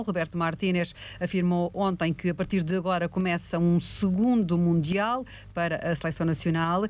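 A radio newsreader, a man with a European Portuguese accent. He reads in a steady, formal cadence with a fairly high voice. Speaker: news presenter. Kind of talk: read radio news bulletin.

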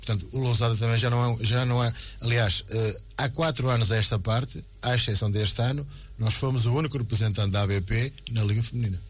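A man's voice: mid-range voice, fairly even intonation, fast. A man with a European Portuguese accent answering as a club president in a radio interview, explaining with emphatic repetition.

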